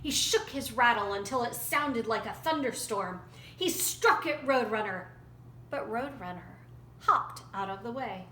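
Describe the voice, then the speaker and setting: A woman storyteller narrating a folktale in an expressive, dramatic and deliberate way. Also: on the high side. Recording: roomy echo, faint background noise.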